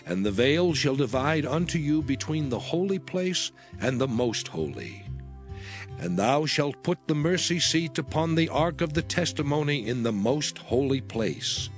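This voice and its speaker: A man narrating a dramatized scripture reading in a solemn, measured, formal manner. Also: fairly high pitch, big pitch swings. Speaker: audio Bible narrator. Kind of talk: dramatized scripture reading from the King James Bible. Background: background music.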